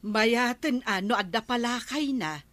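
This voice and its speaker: A woman's voice: fairly high pitch, a little slow, a bit bright, no room sound. A woman playing a nagging, skeptical village wife with an Ilocano accent in a comedic radio drama. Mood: scolding, questioning. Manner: nagging, questioning. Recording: faint noise and ambience.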